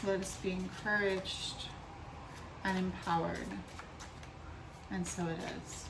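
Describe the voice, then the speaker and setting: A woman with a slightly raspy voice doing a casual tarot reading vlog, talking softly and unhurriedly with many ums and restarts. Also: fairly low pitch, level tone, slow pace, background noise. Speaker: tarot reader.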